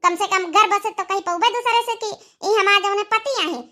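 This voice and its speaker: A woman's voice: very high voice, moderate pace, pushed and bright. A woman voicing a haughty young teacher wife in a comic cartoon, curt and dismissive, with an Awadhi accent. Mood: scornful. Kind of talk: comic village cartoon dialogue.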